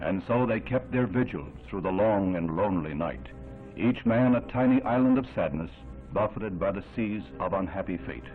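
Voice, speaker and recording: Male American newsreel narrator, 1960s, grave and oratorical, low register, thin band-limited soundtrack. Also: expressive, narrow, tinny audio. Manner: grave, measured, oratorical delivery. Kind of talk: 1960s newsreel scripted narration, solemn and dramatic. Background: music.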